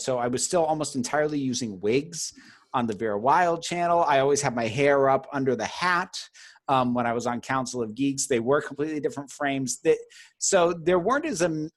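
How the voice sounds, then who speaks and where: A baritone-voiced man, a guest in a casual interview, talking at length in an articulate, chatty way with many "like"s. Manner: articulate and talkative, lots of "like", laughs mid-sentence. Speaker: guest YouTuber.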